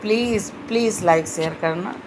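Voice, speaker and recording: A woman with a sore throat giving a slow, earnest devotional talk in an online video. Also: medium pitch, noisy background. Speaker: spiritual video host.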